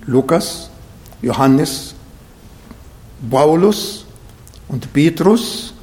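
Male preacher, high voice, german, emphatic scripture reading, grammar slips. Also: long reverb tail. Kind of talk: sermon.

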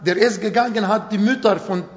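Ein Mann mittleren Alters mit Arabisch als Muttersprache und arabischem Akzent, der langsam und nachdrücklich predigt, in etwas holprigem Deutsch. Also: male voice, high voice. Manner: slow, emphatic, non-native German phrasing. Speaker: preacher. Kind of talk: sermon. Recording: echoey hall, dull sound.

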